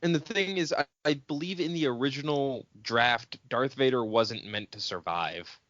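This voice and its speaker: A man's voice: mid-range voice, normal speed, fairly bright tone, no room sound, highs cut off. A man co-hosting a podcast, bantering casually about films in a wry, teasing manner. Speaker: podcast co-host.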